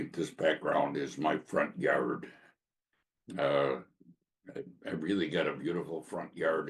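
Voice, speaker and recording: Brief: hoarse male podcast host, very low gravelly voice, slow and halting, earnest testimony. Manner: halting, with frequent filler pauses. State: hoarse from a sore throat. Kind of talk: casual faith testimony monologue.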